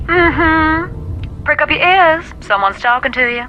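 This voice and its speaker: An actress playing a cryptic, eager confidante in a video game, heard through a telephone filter. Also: female voice, sits high, lively intonation, takes it slowly, lo-fi sound, slight background noise. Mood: intrigued.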